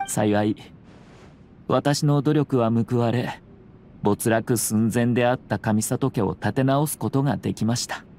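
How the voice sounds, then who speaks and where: A man playing a courteous, shrewd young clan lord in an anime video game, speaking in polite, measured, formal phrases. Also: medium pitch, a little mellow, a little hiss or hum, lightly acted. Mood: composed.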